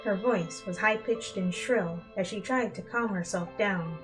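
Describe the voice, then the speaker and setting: Female storybook narrator, mid-pitched, dramatic reading style, soft instrumental music underneath. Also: measured pace, rough recording. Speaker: fan fiction reader. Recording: music bed behind the voice.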